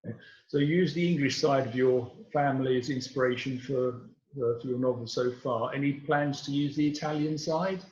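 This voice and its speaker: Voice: mid-range voice, moderate pace, darkish tone. An interviewer asking casual questions in a conversational author talk. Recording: echoey, dull lo-fi audio.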